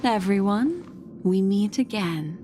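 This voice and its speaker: A woman's voice: mid-range voice, expressive, slow pace. A woman acting as a poised, mysterious, teasing visitor in a game, languid and courteous. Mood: enigmatic. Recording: music behind the voice.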